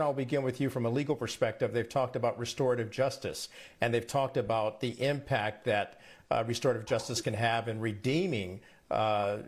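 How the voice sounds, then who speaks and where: A man working as a news anchor, presenting and interviewing in a live broadcast style. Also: medium pitch.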